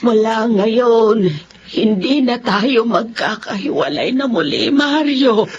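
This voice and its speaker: An actress playing a weary, tender older sister in a Filipino radio drama, speaking plaintively with a Filipino accent. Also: female voice, fairly high pitch, takes it slowly. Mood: tender resolve. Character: long-lost older sister, weary, tender, once a runaway. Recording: muffled, noisy radio broadcast.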